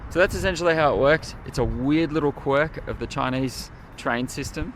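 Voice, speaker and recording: A man's voice: fairly high pitch, normal speed. An Australian man talking casually as a travel vlogger, with informal, laid-back phrasing and colloquial slang. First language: English. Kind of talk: casual vlog talk to camera. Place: trains and busy stations while travelling. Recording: loud vehicle and outdoor station noise.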